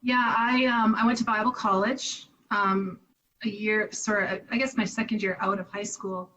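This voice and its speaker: A woman's voice: medium pitch, fairly even intonation, moderate pace, a bit bright, recorded from a distance, slight background noise, low-quality recording. A Canadian woman in her fifties, a charity director, talking reflectively in an interview and laughing easily. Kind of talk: conversational interview.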